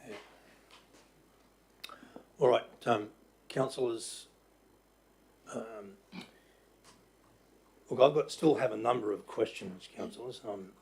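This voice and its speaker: Croaky-voiced Australian mayor chairing. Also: male voice, fairly low pitch, slow, long pauses, a little mellow. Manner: hesitant, many fillers, polite. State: croaky voice after illness. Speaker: mayor chairing a council meeting. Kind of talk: formal meeting chairing. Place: council chamber.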